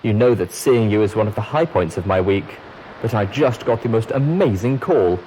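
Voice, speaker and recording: A man voicing an excitable, boastful female pony leaving a recorded message, with gushing, theatrical emphasis. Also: on the low side, moderate pace, slight background noise, voice pushed up, lightly acted, poor audio. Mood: excited, boastful. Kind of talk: recorded message in a fan fiction reading.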